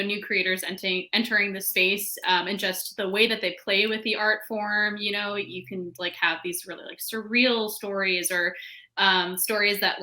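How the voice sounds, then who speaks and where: A woman's voice: mid-range voice, sharp tone. A woman speaking casually as a panelist, informal and peppering her sentences with "like". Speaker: panelist, fiction podcast creator.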